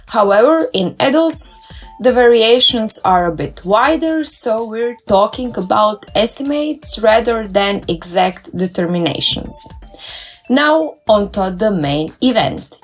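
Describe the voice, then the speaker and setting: A woman who works as a dentist, narrating a scripted step-by-step tutorial in an upbeat, careful way. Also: a little high. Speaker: dentist and doctoral researcher.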